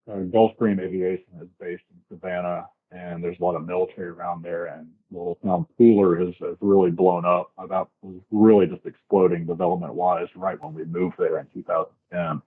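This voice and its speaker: An American man from the southern US with a deep, rough-edged voice tells his experiences in a casual, descriptive way. He sounds like an interview guest on a narrow, phone-like connection. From southern United States, grew up in Florida. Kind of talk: casual first-hand storytelling. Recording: tinny, band-limited remote call.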